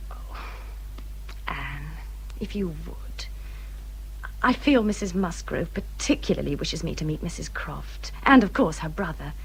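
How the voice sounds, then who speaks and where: An English actress playing a self-important, fretful young married gentlewoman in a period radio drama, plaintive and gushing. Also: sits low, lively intonation, measured pace, vocal fry, long pauses, slight background noise, exaggerated voice, low-quality recording. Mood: fussily self-justifying.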